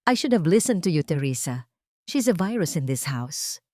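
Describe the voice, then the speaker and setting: A woman's voice: big pitch swings, moderate pace, a little mellow, no echo. A woman doing a cold, bitter rich patriarch. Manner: deep, bitter, clipped commands. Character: cold stern wealthy older man, bitter patriarch.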